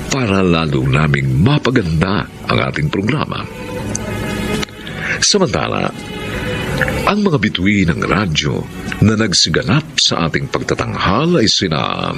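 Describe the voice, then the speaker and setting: Male drama announcer, low, unhurried, formal, theatrical, dramatically varied pitch, prominent music. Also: creaky. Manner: grand, measured, theatrical announcing. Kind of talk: formal scripted narration.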